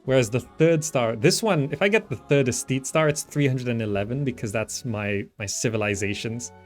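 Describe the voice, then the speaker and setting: A man talks through a strategy game in a casual, thinking-aloud way. His voice sits at an average pitch for a man, and he often trails off mid-thought. Music plays behind his commentary. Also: moderate pace. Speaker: gaming video host. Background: background game music.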